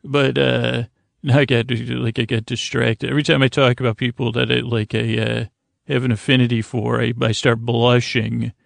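A man with a creaky, gravelly voice hosting a bedtime podcast in a rambling, halting monologue, often interrupting and restarting himself. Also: mid-range voice, little pitch movement, no echo. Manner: halting, meandering, self-interrupting with many restarts. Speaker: podcast host. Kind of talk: rambling bedtime monologue to keep listeners company.

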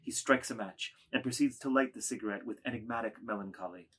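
A man reading stage directions as the narrator of a literary drama, in a measured scripted delivery. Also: lowish voice, fairly bright tone, slightly rough.

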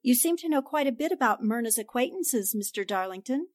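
An actress playing an inquisitive, poised newspaper reporter who asks polite, probing questions, in the style of old-time radio drama. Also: female voice, on the high side, lively intonation, fairly quick, lightly acted.

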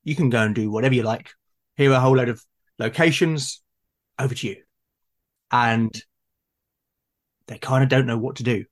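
Musing man with halting delivery. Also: mid-range voice. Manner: halting, musing, repeats sentence starts. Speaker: host and game master in a conversation. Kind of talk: casual reflective discussion.

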